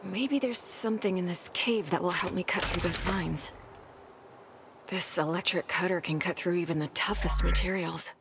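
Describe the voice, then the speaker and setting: A woman playing a stranded space scientist in a video game, methodical and uneasy but determined, musing to herself in a hushed way. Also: fairly low pitch, normal speed, creaky, noisy background.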